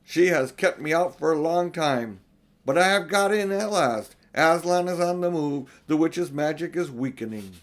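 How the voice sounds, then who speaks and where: A man playing a big, solemn, kindly old Father Christmas, speaking in measured, emphatic pronouncements for a storybook. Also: fairly high pitch, lively intonation, pitched above the performer's own voice, close to an everyday voice. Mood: solemn triumph.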